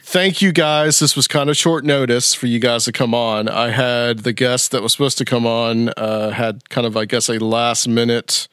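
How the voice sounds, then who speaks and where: A man's voice: medium pitch, steady tone, moderate pace, few pauses, a bit bright, clean tone, no room sound. Podcast host guy, laid back and enthusiastic, dry close mic. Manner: easygoing and enthusiastic, fillers and restarts. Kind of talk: casual podcast chat.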